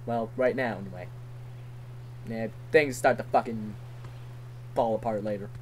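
A man's voice: on the low side, a little slow. A man giving casual, rambling gameplay commentary, self-deprecating and given to swearing. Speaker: let's play commentator. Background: game music.

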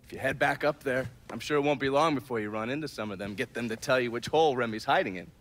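A man's voice: medium pitch, quick pace, a bit bright, close to an everyday voice. An actor playing a wry, laid-back middle-aged intelligence man in a video game, casual and sardonic. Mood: businesslike. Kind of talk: conversational video game acting.

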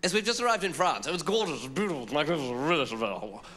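A man's voice: fairly high pitch, lively intonation, fast talker, pushed and bright, voice pushed up. A man on stage voicing an effusive, plummy English woman talking on a mobile phone. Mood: gushing, breezy. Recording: noisy live stage recording.

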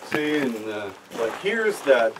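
A man's voice: mid-range voice, very animated, slow pace. A man chatting casually and explaining things to friends on a camping trip, picked up outdoors by a camera microphone. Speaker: camping companion and guide. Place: outdoors in the woods at a campsite. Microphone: camera microphone, a little away. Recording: noisy outdoor camera audio.

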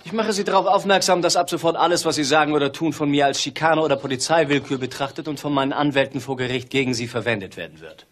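A man's voice: a little high, fast, hardly any pauses, slight background noise, close to an everyday voice. Actor as an indignant, arrogant male suspect. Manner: irritated, haughty. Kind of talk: German radio crime drama acting.

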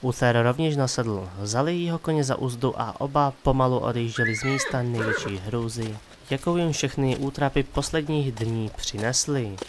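A man's voice: mid-range voice, noisy background. A man giving scripted dramatic narration of a fantasy story as the narrator of an audio drama. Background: music.

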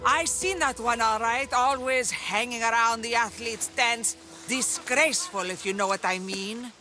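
A woman's voice: on the high side, a little slow, bright, forceful tone, faint music behind. A woman voicing a gossipy middle-aged game character, scandalised yet lusty, relishing her tale. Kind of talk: video game cutscene acting.

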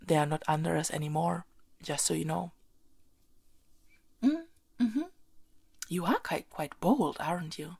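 A woman playing a haughty, bossy but playful young princess in an ASMR roleplay, soft and breathy, often slipping into whispers. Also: fairly low pitch, heavily acted. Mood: bossy, indignant, then haughty, teasing. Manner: imperious, teasing, repeats phrases insistently.

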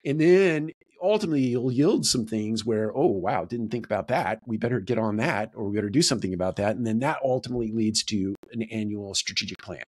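A man talks to camera as a host, explaining in a reflective, deliberate way with repetition for emphasis. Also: on the low side, darkish tone, breathy edge. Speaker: host of a business video series. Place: small home office, indoors.

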